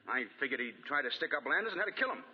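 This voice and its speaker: An actor playing a cocky, sneering gangster in 1940s radio drama, slangy, sarcastic and defiant. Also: male voice, medium pitch, sing-song, very fast, slightly rough. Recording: dull, lo-fi 1940 radio broadcast.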